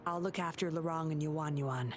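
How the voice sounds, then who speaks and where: Woman acting sly, low-voiced female undercover investigator. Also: moderate pace, a little hiss or hum, lightly acted. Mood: serious, businesslike. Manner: coy, composed, teasing. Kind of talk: scripted video game voice acting. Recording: music under the voice.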